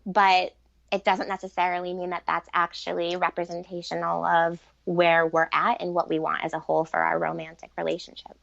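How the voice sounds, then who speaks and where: A woman's voice: lowish voice, completely flat delivery, fairly quick, creaky, a bit bright, no echo, dull audio. A woman speaking conversationally as an interview guest on spiritual matters, explaining earnestly and repeating points for emphasis. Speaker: podcast guest, author and relationship expert.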